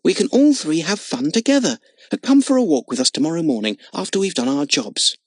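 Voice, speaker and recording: A man's voice: a little high, big pitch swings, a little fast, no echo, clean studio sound, pitched above the performer's own voice. A man voicing an eager, kind-hearted young circus boy in a storybook reading. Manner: earnest and pleading.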